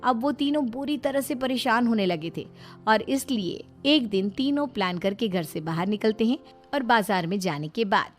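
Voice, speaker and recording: A woman narrating a cartoon story in a plain, even storytelling voice. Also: on the high side, moderate pace. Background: faint background music.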